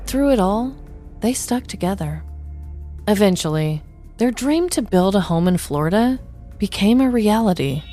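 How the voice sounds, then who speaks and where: A woman's voice: medium pitch, lively intonation, normal speed, mellow, faint music behind. A woman podcast host telling scripted true-crime stories with dramatic, tongue-in-cheek delivery, like a comedic narrator.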